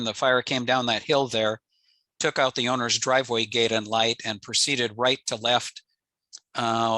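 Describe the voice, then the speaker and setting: A man's voice: mid-range voice, bright, forceful tone, no echo, rough recording. An older American man giving an informal slide presentation in a matter-of-fact, explanatory way. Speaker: presenter, amateur radio operator. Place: indoors on a video call.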